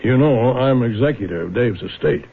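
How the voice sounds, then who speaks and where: Gravelly, weary older American man. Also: medium pitch, lively intonation, normal speed, slight background noise. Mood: firm, restrained. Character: weary, guarded aging lawyer and grieving father. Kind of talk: 1970s radio drama acting. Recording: muffled vintage radio recording.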